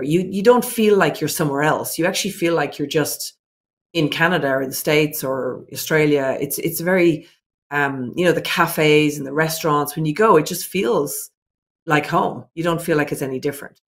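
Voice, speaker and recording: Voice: mid-range voice, normal speed, a bit bright. A business owner answering interview questions earnestly and reflectively, working through ideas point by point.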